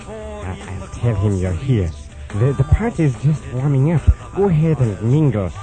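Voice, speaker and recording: A man playing a courteous, creepy castle butler in a comic horror radio play, formal and halting. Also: mid-range voice, very animated, over music, close to an everyday voice. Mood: politely eerie.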